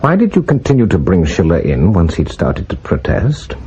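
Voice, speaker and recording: An actor playing a stern, scornful senior intelligence chief in a radio spy drama, speaking in a cold, accusing, deliberate way. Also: male voice, deep voice, huge pitch swings, dark tone, slightly rough, muffled recording, exaggerated voice. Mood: cold anger.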